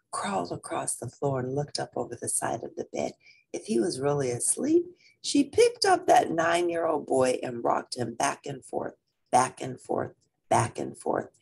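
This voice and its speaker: A husky-voiced woman reading a children's picture book aloud in a dramatic, expressive way, drawing out repeated phrases. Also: rumbling low.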